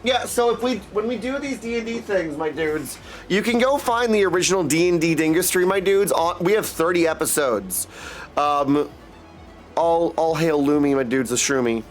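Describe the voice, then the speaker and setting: A man's voice: a little high, moderate pace, faint music behind. A man livestreaming casually, greeting viewers with effusive affection as he chats. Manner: effusive affectionate greetings, often addressing listeners as dudes. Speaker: live streamer greeting chat.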